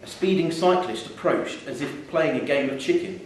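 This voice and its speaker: A man reading a short story aloud in a measured, wry storytelling manner, live in a theatre. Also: a little high. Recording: echoey theatre hall, distant mic, faint noise.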